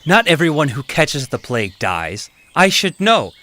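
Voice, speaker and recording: A man's voice: a little high, sing-song, normal speed, bright, forceful tone, slight background noise. A man playing a vain, helpless, complaining king in a comic stage-style audio drama. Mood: boastful. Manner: pompous, boastful, complaining. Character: vain, whining, helpless old Welsh king.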